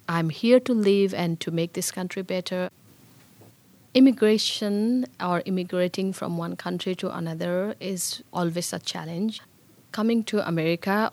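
A woman's voice: lowish voice, a little mellow. A middle-aged woman from Bhutan, a native Nepali speaker with a Bhutanese Nepali accent, earnestly recounting her life story in an interview. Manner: reflective, earnest. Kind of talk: personal storytelling.

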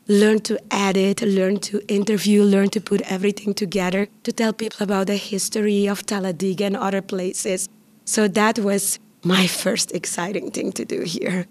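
Lively woman telling her story. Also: mid-range voice. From Curaçao. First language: Papiamentu. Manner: lively, chatty, laughs often. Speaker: interviewee. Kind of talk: personal storytelling.

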